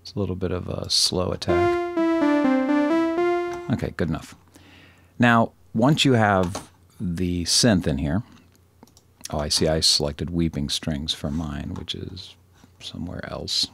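A man presenting a casual screen-recorded software tutorial, thinking aloud in an informal, self-deprecating way. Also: low-pitched, fairly even intonation, measured pace, gravelly, pauses often, over music.

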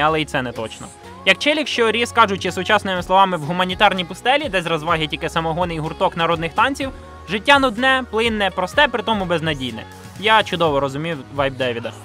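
A man narrates a video essay at a quick pace in a fairly high voice. His delivery is blunt and sardonic, full of slang and self-mockery. Music plays underneath. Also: bright, forceful tone. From Ukraine, grew up in a village. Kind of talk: scripted video review narration with slang and swearing. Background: background music.

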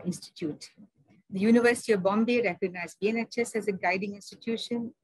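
A woman's voice: lowish voice, slightly rough, no room sound, low-quality recording. A woman with an Indian English accent giving a seminar talk over slides, chatty and digressive, with many fillers and restarts. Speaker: seminar speaker.

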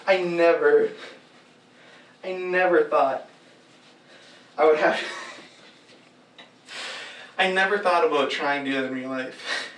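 A man's voice: a little high, slow pace, pauses often, fairly bright tone, slight background noise. Giggly young man in an echoey room. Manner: giggly and self-mocking, chatty. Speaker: cosplayer filming fighting-game moves. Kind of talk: casual chat while acting out moves. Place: green screen room indoors. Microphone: far camera mic. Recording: echoey room, mic set far off.

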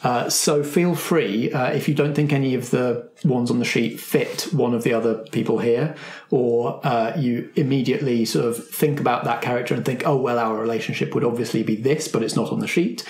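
A man's voice: medium pitch, fairly even intonation, fairly quick, darkish tone. A man casually hosting a roleplaying podcast and explaining the game to his players at a table. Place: indoor room, group around a table. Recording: roomy, echoing group table recording.

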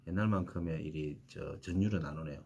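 A man from Jinju, a music company head, talking as a radio guest with dry, deadpan humor. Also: a bass voice, fast talker, breathy edge. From Jinju, South Korea. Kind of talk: casual radio chat.

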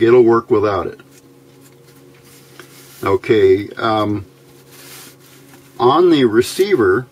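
A man with a fairly low voice presents a casual electronics tutorial. He talks very slowly and methodically, pausing as he picks his words. His delivery is plain-spoken, that of a hobbyist explaining his work at the bench. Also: darkish tone, slightly rough. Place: indoors at a workbench.

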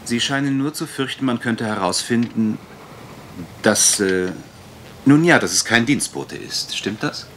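A man's voice: mid-range voice, lively intonation, unhurried, a bit bright, a little hiss or hum. A man acting a gallant, slightly bumbling private detective in a German radio crime drama, with theatrical, hesitant flourishes. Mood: courteous, probing.

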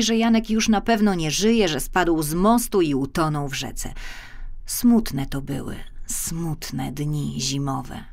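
A woman narrating a scripted children's story in an expressive, careful storytelling manner. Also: low-pitched, vocal fry. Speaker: audiobook narrator.

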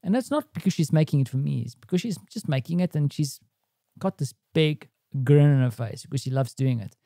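A man with a soft, mellow voice pitched a little high talks through his day like an audio diary. He is conversational and reflective, as a podcast host speaking casually to his listeners. The sound is dry and close. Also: animated, a little fast, dry recording.

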